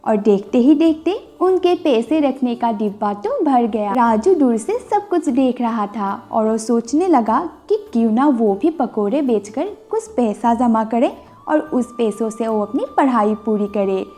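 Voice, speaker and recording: A woman's voice: on the high side, expressive, unhurried, mellow. A woman narrating a scripted moral bedtime story as a storyteller. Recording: music bed under the voice. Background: background music.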